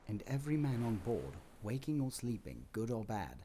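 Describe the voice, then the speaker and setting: A man narrating a scripted audio play as storyteller, in a dramatic, expressive manner. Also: mid-range voice, moderate pace, slight background noise.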